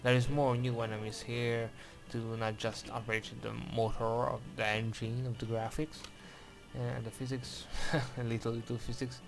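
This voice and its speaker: A man's voice: mid-range voice, level tone, normal speed, vocal fry, music in the background. A man from Hungary with a Hungarian accent, commenting hesitantly on game footage in careful English, with many fillers and restarts. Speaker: game reviewer. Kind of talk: gameplay commentary and review. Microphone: voice-over over game footage.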